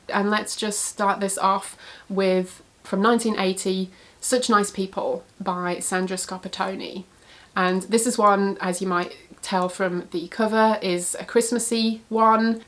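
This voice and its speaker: A woman with a stuffy, husky voice from a cold, chatting casually about books she recommends, with frequent filler words. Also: lowish voice, fairly bright tone. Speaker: book reviewer.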